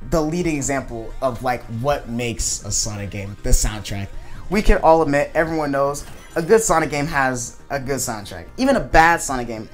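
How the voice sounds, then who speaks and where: Enthusiastic video game fan over music. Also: medium pitch. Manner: enthusiastic, emphatic, rambling. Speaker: video creator reviewing a game. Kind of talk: casual gushing video game commentary.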